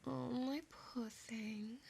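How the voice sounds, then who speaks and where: An ancient vampire seductress, played by a woman whispers intimately in an audio roleplay. Her breathy, fairly high voice stays level and unhurried, drawing out each phrase with possessive, teasing affection. Some background ambience sits behind the close whisper. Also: steady tone, dark tone, slight background noise, heavily acted. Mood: teasing, doting. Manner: slow, drawn-out, teasing delivery.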